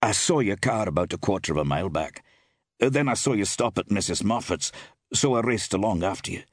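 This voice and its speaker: A man's voice: fairly low pitch, lively intonation, a little fast, husky, dry recording, studio quality. A man voicing an earnest, urgent young man in an audiobook story, speaking in an insistent manner. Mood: eager.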